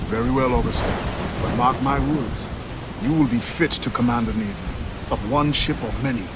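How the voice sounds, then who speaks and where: An actor playing a bold, seasoned rebel ship captain in a video game, commanding and emphatic. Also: male voice, mid-range voice, moderate pace, background noise, natural voice. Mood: earnest, urging. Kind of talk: dramatic video game acting. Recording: loud music behind the voice.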